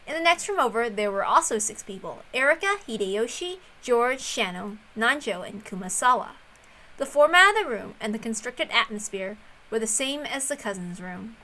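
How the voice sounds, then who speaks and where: A woman reading a story aloud as narrator, in an expressive storytelling style. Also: a little high, normal speed, rough recording. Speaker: reader of a visual novel.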